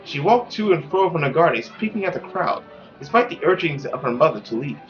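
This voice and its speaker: A man's voice: fairly high pitch, a little fast, muffled recording, soft background music. A man hosting a gaming video, reading a visual novel aloud and adding chatty commentary. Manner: animated, chatty.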